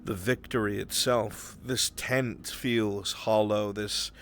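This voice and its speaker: A man working as game master, narrating a live roleplay game in the second person with brooding, deliberate delivery and dramatic pauses, over background music. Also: fairly low pitch, steady tone, a bit bright. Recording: music behind the voice.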